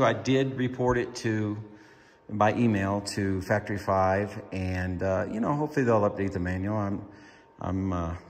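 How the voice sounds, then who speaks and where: A man giving a casual, explanatory car-build update in an easygoing, matter-of-fact way, speaking from behind a handheld camera. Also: lowish voice. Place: indoor home garage workshop. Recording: reverberant garage, clear background room noise.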